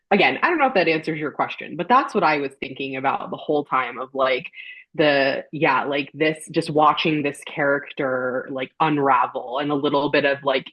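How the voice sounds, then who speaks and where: A woman's voice: low-pitched, slightly rough, muffled recording. A woman chatting casually as a podcast guest, informal and rambling in the way she talks. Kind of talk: casual chat.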